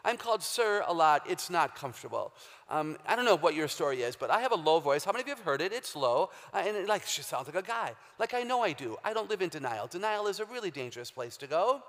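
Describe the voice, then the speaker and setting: A woman with a very low, deep voice giving an emphatic, humorous faith talk as a guest speaker, quizzing a live audience in a large hall. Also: very animated, quick pace. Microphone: handheld or stage microphone. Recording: long hall reverb, live stage talk.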